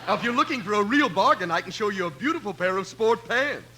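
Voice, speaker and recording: A man's voice: high-pitched, lively intonation, fast, pushed and bright. An actor playing an unflappable clothing salesman in a 1950s radio comedy, polite yet dryly insulting. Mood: eager patter. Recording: noisy old radio recording.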